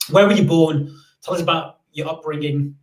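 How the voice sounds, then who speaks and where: Chatty high-voiced male podcast host. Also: fairly even intonation, moderate pace, echoey room, low-quality recording. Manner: chatty, many fillers and restarts. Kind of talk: casual live-stream interview.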